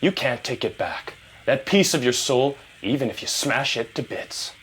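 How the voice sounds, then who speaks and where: Noisy ambience; male actor as smug, seductive film star, menacing, very animated, audio drama. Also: medium pitch, moderate pace, natural voice. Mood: ominous. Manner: theatrical, seductive, shifting from whispers to bursts. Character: smug, menacing male movie star, delusional about becoming a tiger.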